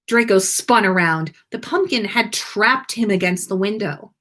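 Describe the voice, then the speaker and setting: Woman reading a story, slow and lively. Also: medium pitch. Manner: expressive storytelling. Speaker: fan fiction reader on a podcast livestream. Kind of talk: scripted narration, read-aloud story.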